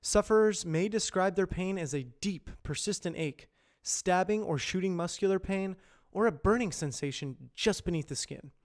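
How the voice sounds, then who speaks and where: A man reading scripted informational narration in a measured, carefully enunciated presenter delivery, like an educational voice-over. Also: high-pitched. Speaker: amateur voice actor practising reads.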